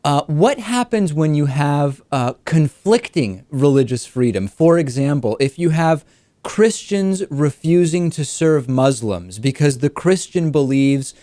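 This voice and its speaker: A man hosting a political interview show, talking in a measured, articulate way and setting out examples before he asks his questions. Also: fairly high pitch, animated, warm tone, no room sound. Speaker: talk show host and interviewer.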